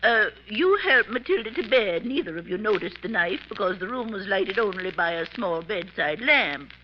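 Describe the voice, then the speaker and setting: An actress as an elderly, sharp-minded spinster schoolteacher in a vintage radio mystery. She explains step by step in a precise, methodical manner. The sound is dull and lightly noisy, like an old broadcast. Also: mid-range voice, lively intonation, moderate pace, lightly acted. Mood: methodical, assured. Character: shrewd elderly spinster, retired physics teacher, explaining calmly. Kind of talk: 1950s radio mystery acting. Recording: noisy, muffled 1950s radio recording.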